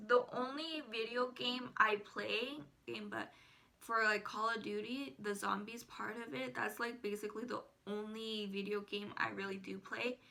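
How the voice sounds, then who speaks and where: A woman's voice: medium pitch, fairly even intonation, a little slow, vocal fry, sharp tone. An eighteen-year-old woman, a native Spanish speaker, chatting casually to viewers as a vlogger. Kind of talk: casual personal chat to viewers.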